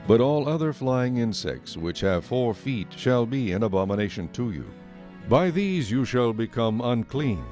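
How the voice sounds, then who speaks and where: A man narrating scripture from a script in a measured, solemn manner. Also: medium pitch, sing-song, darkish tone. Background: music.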